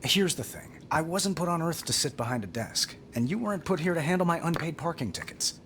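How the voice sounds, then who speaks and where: An actor playing a cocky, wisecracking billionaire inventor and hero in a video game, glib, wry and self-assured. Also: male voice, a little high, lively intonation, fairly quick, a bit bright, slight background noise. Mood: self-assured persuasion. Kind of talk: video game cutscene acting.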